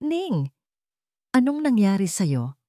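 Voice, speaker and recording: A woman narrator puts on a bold female journalist with a Filipino accent, alarmed for her friend. Her delivery is hushed and urgent, in a dry audio-story recording. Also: medium pitch, sing-song, takes it slowly, no echo, pitched above the performer's own voice.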